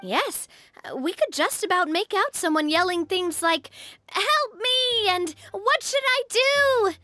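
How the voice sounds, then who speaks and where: Brief: friendly young game heroine, chatty and curious, high pitch, very expressive melody. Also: female voice, slow pace, no room sound, faint music behind, heavily acted. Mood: animated recounting. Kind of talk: English video game voice acting.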